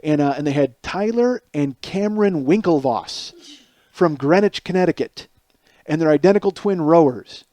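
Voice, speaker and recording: A man's voice: fairly high pitch, moderate pace, no room sound. Lisping man rambling in a dry room. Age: 40s. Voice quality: lisp. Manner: rambling, self-mocking, animated storytelling. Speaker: podcast host. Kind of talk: solo comedic monologue.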